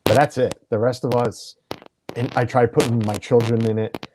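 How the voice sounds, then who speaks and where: A man's voice: medium pitch, fairly even intonation, normal speed, noisy background. A middle-aged American man hosting a casual video chat, talking in a rambling way and often correcting himself mid-sentence. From United States. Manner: chatty, rambling, self-correcting. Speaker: podcast host.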